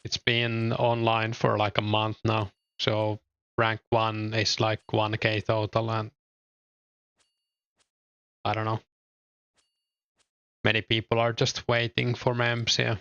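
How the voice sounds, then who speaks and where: A man's voice: lowish voice, slow pace, long pauses, smooth, dry recording, muffled recording. A young man with a Finnish accent, chatting casually and a bit hesitantly while gaming and reading his chat aloud. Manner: relaxed, hesitant, lots of fillers. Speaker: streamer.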